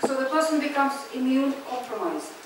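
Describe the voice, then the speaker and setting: A woman gives a lecture in short, measured sentences, stopping after each one. Her voice sits high, and she sounds distant, caught from across a large echoing hall with steady room noise behind her. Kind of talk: lecture delivered in short phrases for an interpreter. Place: lecture hall at a business school. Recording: echoey lecture hall from far off.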